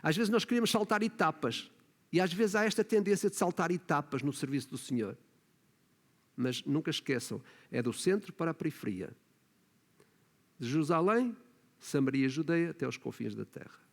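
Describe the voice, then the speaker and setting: A man's voice: fairly high pitch, lively intonation, normal speed. A man with a European Portuguese accent preaching a sermon as pastor, often adding tag questions and rising to emphatic points. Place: church hall. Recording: long reverb of a church hall.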